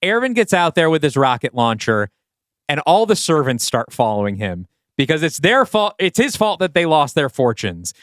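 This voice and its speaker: A man's voice: a little high, big pitch swings, moderate pace, fairly bright tone, no room sound, clean studio sound. A man hosting a podcast, reading scripted scene directions as the narrator of an audio play.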